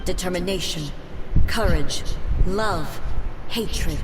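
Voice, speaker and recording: A woman's voice: on the low side, takes it slowly, noisy background. An actress playing a proud, stern female deity, a puppet guardian of eternity, speaking formally and solemnly in a video game. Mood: solemn, cold. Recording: game audio under loud music.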